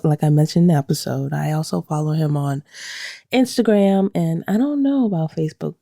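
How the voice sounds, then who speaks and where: A woman's voice: low-pitched, fairly quick, vocal fry, warm tone, no echo, studio quality. A woman hosting a podcast, talking in an upbeat, conversational way. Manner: upbeat, conversational, laughs along. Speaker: podcast host. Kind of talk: podcast intro and interview.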